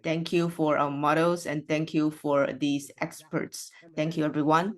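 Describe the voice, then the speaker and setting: Interpreter for a symposium opening; mid-pitched, hesitant, filler-heavy delivery. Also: level tone, measured pace.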